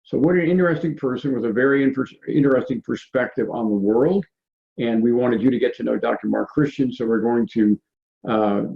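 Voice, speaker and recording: A man hosting a show, reading a plain scripted introduction of a guest. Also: medium pitch. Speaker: show host. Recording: dull lo-fi audio with gravelly fry.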